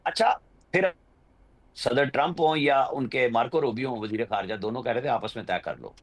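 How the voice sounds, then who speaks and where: A man's voice: medium pitch, normal speed. A man with a Pakistani accent giving unscripted political commentary as a journalist, explaining insistently and at winding length. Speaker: journalist, political analyst guest.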